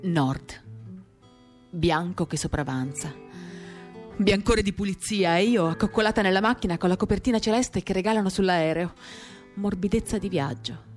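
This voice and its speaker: A woman giving a literary dramatic reading of a first-person monologue, measured, with pauses between short phrases. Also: fairly low pitch, darkish tone. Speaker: reader of a novel, performing a radio reading. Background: acoustic guitar music.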